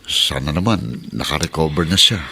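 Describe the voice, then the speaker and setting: A man's voice: a bass voice, normal speed, sharp tone, slight background noise. An actor playing an easygoing, casually teasing husband and father in a radio drama. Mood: casual, relaxed. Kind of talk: Tagalog radio drama acting.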